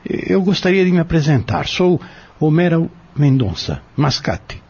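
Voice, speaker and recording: A middle-aged male peddler in an audio drama, acted by a man, courteous and insistent. The voice is very raspy and creaky, with animated pitch and folksy politeness. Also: unhurried, exaggerated voice. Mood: apologetic, courteous. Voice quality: raspy, gravelly. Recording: dull, lo-fi audio drama recording.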